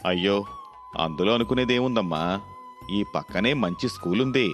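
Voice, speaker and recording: A man's voice: fairly low pitch, moderate pace, big-room sound, soft background music, natural voice. A man playing a polite, helpful working man in a story cartoon, courteous and reassuring. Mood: obliging.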